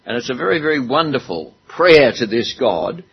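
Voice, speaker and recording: A man's voice: mid-range voice, big pitch swings, moderate pace, vocal fry, breathy edge, lo-fi sound. A man preaching a sermon in a church hall, talking in a chatty way with some self-deprecating humor.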